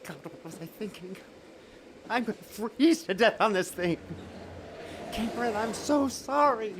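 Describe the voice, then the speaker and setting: An actor playing a desperate, guilt-ridden middle-aged father and professor in an audio drama, halting and pleading. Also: sits high, animated, heavy background noise. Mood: freezing, alarmed.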